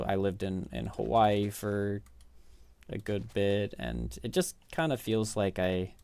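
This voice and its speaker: A man's voice: fairly low pitch, darkish tone, clean tone, no room sound. A man in his thirties with a Midwestern American accent, a game streamer rambling casually and self-deprecatingly with his viewers. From Midwest United States. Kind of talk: casual chat with live viewers while gaming.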